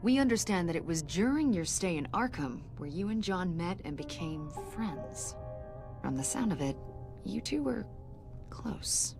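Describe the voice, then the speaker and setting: An actress playing a probing, sardonic government agent in a video game, questioning someone in an insinuating, needling way. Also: female voice, on the low side, sing-song, measured pace. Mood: probing, cool. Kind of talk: cinematic video game dialogue. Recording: music behind the voice.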